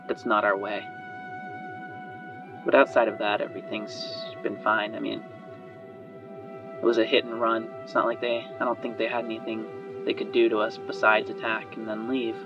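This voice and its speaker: Man playing female inventor for tabletop game: chatty, loyal, worried, hesitant delivery. Muffled sound, music bed. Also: on the low side. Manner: hesitant, rambling, trailing off and sighing. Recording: muffled band-limited audio under music.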